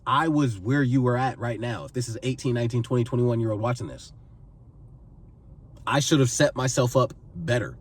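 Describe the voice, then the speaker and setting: A man's voice: medium pitch, moderate pace, slightly rough, recorded from a distance. A man in his twenties giving earnest advice to camera, pleading and repeating phrases for emphasis. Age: 20s. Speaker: vlogger giving life advice.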